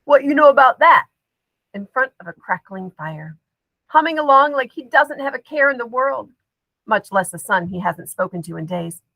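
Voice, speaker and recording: A woman's voice: medium pitch, animated, normal speed, creaky, bright, forceful tone, dry recording, rough recording. A woman narrating a children's story expressively from a script, acting out the drama as an audiobook reader. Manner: dramatic, acts out dialogue.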